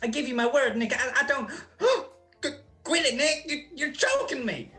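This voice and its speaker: A woman's voice: high-pitched, big pitch swings, normal speed, bright, forceful tone, faint music behind, full character voice. A woman playing a nervous male stool pigeon in a radio crime drama, stammering, slangy and pleading. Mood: frightened, pleading. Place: recorded at home over a video call.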